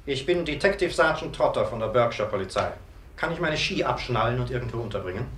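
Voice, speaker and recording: A male actor as a young police sergeant in a vintage radio mystery. He speaks at a medium pitch, with formal courtesy and brisk official authority. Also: animated, fairly bright tone, a little hiss or hum, lightly acted. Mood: polite, brisk. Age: young adult. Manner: polite, formal, measured. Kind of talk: 1950s radio play acting.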